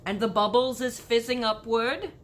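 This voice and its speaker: A woman voicing a big male storybook giant, excitable, proud and indignant, with muddled grammar and emphatic drawn-out exclamations. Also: a little high, slight background noise, natural voice. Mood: lecturing.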